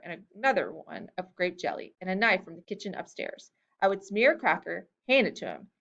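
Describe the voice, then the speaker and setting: A woman reads a first-person story aloud, her pitch moving widely as she gives the quoted lines more lift. The recording sounds a little dull and lo-fi. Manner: expressive reading that lifts pitch for quoted lines. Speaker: reader. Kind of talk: storybook reading of a memoir-style tale.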